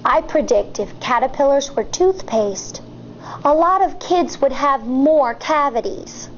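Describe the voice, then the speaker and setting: High, animated American woman reading to children. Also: dull audio, slight background noise. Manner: expressive, deliberate, drawn-out reading. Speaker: elementary school teacher. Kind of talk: children's picture book read-aloud with questions to listeners.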